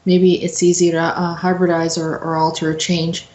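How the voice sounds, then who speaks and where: A woman's voice: fairly low pitch, flat delivery, moderate pace, darkish tone. Woman commenting informally in echoey room. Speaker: host and commentator. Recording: roomy, echoey sound.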